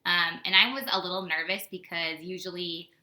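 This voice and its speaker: An American woman, a teacher, giving a casual storytime lesson to young children in an animated way with simple wording and rhetorical questions. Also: fairly low pitch, moderate pace. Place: indoors at home. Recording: roomy, echoey indoor home recording.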